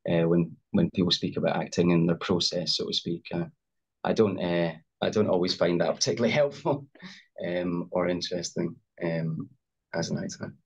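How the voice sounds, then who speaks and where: A man's voice: low voice, normal speed, creaky, husky. A young Scottish man, an actor guest on a video call, speaking hesitantly with many fillers and restarts. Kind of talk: casual interview over video call. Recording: dull lo-fi video call audio.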